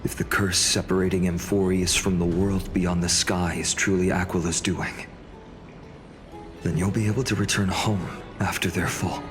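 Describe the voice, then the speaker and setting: An actor playing a calm, noble young warrior lord in a video game, speaking in a measured, comradely way. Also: male voice, low-pitched, over music, close to an everyday voice. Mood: warm, teasing. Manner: measured, gently teasing.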